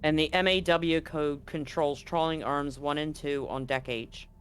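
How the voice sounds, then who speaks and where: A man acting as game master, narrating a tabletop roleplaying game in a deliberate, halting way. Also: on the high side, fairly even intonation, fairly bright tone, slight background noise.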